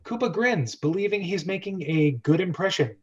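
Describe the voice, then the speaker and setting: Male host-narrator for a screenplay reading, moderately high pitch, slightly muffled lo-fi recording. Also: expressive, moderate pace. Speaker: host and stage-direction narrator. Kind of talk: dramatic script reading, narration.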